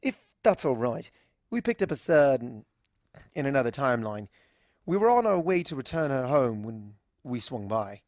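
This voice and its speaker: Man as animated, eccentric pony doctor. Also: mid-range voice, normal speed, no echo, studio quality. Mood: awkward, subdued. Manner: theatrical, earnest, expressive. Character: eccentric, dramatic male pony doctor and time traveller. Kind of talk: storybook character dialogue.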